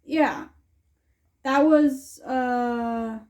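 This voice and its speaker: A young woman co-hosting a casual movie review chat, playful and teasing and quick to laugh. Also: fairly high pitch, highs cut off, crowd noise. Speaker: co-host of a movie review channel.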